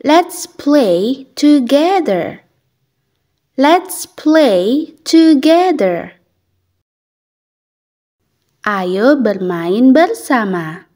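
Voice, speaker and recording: A young woman teaching children English, saying each phrase slowly and clearly, repeating it, then translating it. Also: high voice. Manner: clear, deliberate, lively intonation.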